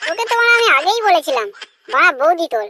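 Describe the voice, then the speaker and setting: A man voicing a cocky, foul-mouthed young womanizer in a comic cartoon, with a pitched-up voice and a brash, bossy manner. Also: very animated, rapid-fire, noisy background. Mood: scolding. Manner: brash, bossy, swearing constantly. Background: loud jingly children's music.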